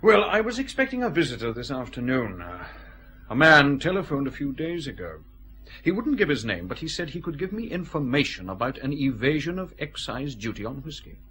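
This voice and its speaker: An actor playing a cautious, fussy customs official, hesitant and precise, in a radio drama. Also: male voice, medium pitch, big pitch swings, fairly quick, fairly bright tone, poor audio. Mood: hesitant, confiding.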